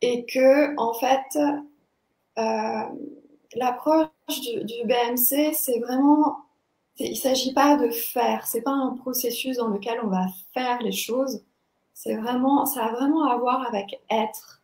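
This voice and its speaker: A young woman explaining her practice as a guest teacher, thoughtful and halting as she searches for words. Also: on the high side, darkish tone. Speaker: body-movement teacher, interview guest. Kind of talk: explanatory interview talk. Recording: echoey, roomy sound.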